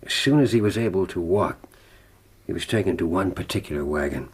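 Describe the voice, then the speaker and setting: An actor playing a gravely ill, defiant older man telling his life story in a grave, deliberate, dramatic way for radio drama. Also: low-pitched, expressive. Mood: reflective.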